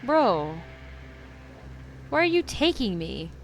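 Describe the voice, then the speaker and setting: Slow-paced woman streamer, casual and slangy. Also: on the high side, big pitch swings, slight background noise. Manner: informal, slangy, self-talking. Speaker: streamer reacting to a game. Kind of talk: casual game commentary. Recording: faint game music behind.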